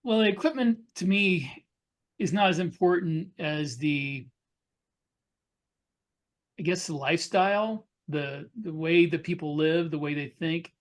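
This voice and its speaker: A man's voice: a little high, measured pace, pauses often. A man talking casually as a panelist on a podcast.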